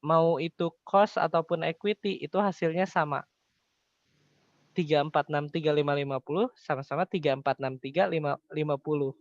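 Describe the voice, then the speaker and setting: A young man with a slightly high voice teaches in a casual, explanatory way. He poses questions and answers them himself. The recording is a little dull. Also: brisk, dry recording. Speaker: accounting tutor. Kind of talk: informal explanatory tutoring.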